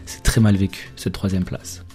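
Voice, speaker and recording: Mellow, fairly low-voiced man, hesitant talker. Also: level tone, moderate pace, faint music behind. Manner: hesitant, repeats words, colloquial. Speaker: interview guest, journalist who researched football history. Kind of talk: spontaneous radio interview.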